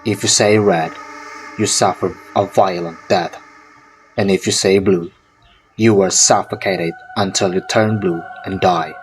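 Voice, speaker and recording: A man with a very low voice reads a scripted horror folktale. His delivery is slow and measured, and music plays clearly behind him. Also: steady tone, poor audio. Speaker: narrator.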